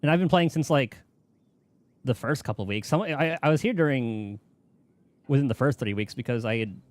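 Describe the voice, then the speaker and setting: Animated man chatting on a game stream. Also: medium pitch, moderate pace. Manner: rambling, thinking aloud, mild swearing. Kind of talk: casual livestream chat while gaming.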